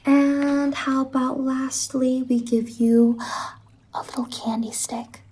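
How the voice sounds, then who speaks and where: A woman playing a sweet, bubbly stylist from a dream land in an ASMR roleplay, speaking softly and often slipping into whispers. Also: sits high, level tone, slow, low-quality recording. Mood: sweet, delighted. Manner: gentle, doting, chatty, often dropping into whispers. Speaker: ASMR performer.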